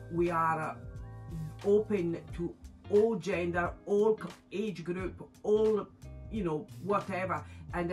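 Encouraging Italian-accented middle-aged woman. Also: lowish voice. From Italy. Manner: earnest, encouraging, halting non-native phrasing. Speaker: crochet teacher and shop owner. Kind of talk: promotional class introduction. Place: indoor yarn studio with shelves.

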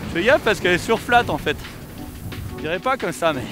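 Lively Frenchman skiing with loud music. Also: high voice, a little fast. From France. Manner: playful, exclaiming and laughing while skiing. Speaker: freeride skier filming himself. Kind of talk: excited running commentary while skiing. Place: outdoors on a snowy mountain. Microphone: helmet or pole camera. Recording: loud music over outdoor camera audio.

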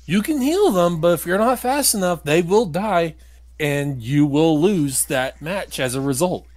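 A man's voice: fairly high pitch, lively intonation, unhurried, darkish tone, no room sound. A man playing a video game and commentating casually, with blunt, grumbling remarks. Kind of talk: casual gameplay commentary chat.

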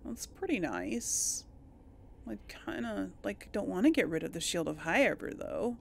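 A woman's voice: mid-range voice, very animated, a little slow, vocal fry, slight background noise. A woman giving casual let's play commentary, chatting and thinking aloud to her viewers. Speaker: gaming video host.

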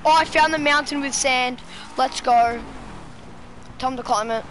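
A woman's voice: high-pitched, a little slow, fairly bright tone. A young woman casually commenting on her driving game for her chat, playfully trying out a New Zealand accent. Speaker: gamer streaming to chat. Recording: game car engine noise behind.

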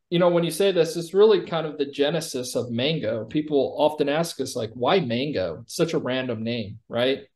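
A man's voice: fairly high pitch, darkish tone. A man giving a conversational product demo as a company executive, explaining things informally.

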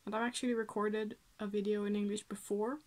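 A woman's voice: mid-range voice, flat delivery, a little fast, darkish tone. A young woman from Sweden with a Swedish accent, chatting casually to camera about books in a small room at home, with frequent fillers and small laughs. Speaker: book vlogger.

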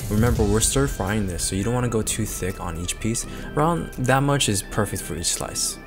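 A man hosting a cooking video, narrating steps in a relaxed, chatty way with self-deprecating asides. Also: on the low side, normal speed. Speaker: cooking channel host. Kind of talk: casual cooking voice-over. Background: guitar music.

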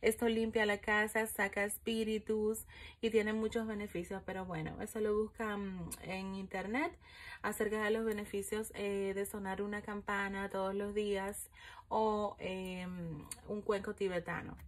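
A chatty woman reads fortunes from a coffee cup at a home altar. Her voice sits at a middle pitch, loose and conversational, with many fillers and "¿ok?" at the end of her points. She is recorded on a phone held over the table. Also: level tone, unhurried. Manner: chatty, many fillers, frequent "¿ok?" check-ins. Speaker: coffee-ground and tarot reader. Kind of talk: casual spiritual reading monologue. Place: home room with candles and an altar, indoors. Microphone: phone camera over the table, speaker off screen.

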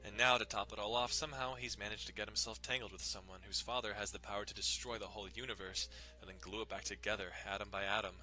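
A man's voice: lowish voice, fairly even intonation, quick pace, hardly any pauses, sharp tone, low-quality recording. A young man giving a dramatic reading of a visual novel, narrating and tossing in casual, irreverent asides, with music behind him. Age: young adult. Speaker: dramatic reader.

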